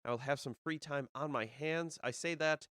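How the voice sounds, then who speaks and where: A young man talks on his own as the host of a casual show, rambling and restarting his sentences. He sounds a bit nasal from a cold. His voice is smooth and resonant, with a dry, close sound and no room echo. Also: on the high side, moderate pace, a bit bright. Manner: rambling, with many fillers and restarts. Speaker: disc golf podcast host. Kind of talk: casual solo live-stream talk.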